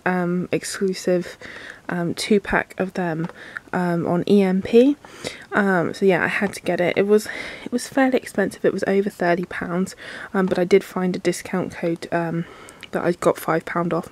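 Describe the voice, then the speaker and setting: A woman's voice: fairly low pitch, fairly even intonation, normal speed, a little mellow. A woman, chatting casually in a book vlog, full of ums and likes, sniffly and congested from a cold. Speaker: book vlogger. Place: work bathroom.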